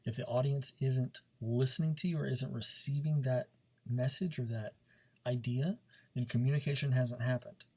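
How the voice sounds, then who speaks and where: A man with a slightly rough, husky voice at an average pitch speaks as a teacher to his class. He is informal and demonstrative, leaning on key words and repeating them for emphasis. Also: fairly even intonation, normal speed, dark tone. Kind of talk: informal recorded lesson, talking directly to students.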